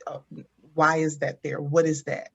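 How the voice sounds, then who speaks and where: A woman's voice: deep voice, level tone, a little slow, creaky, a bit bright, highs cut off. A woman speaking as a guest about her faith, intense and self-questioning. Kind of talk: reflective faith talk.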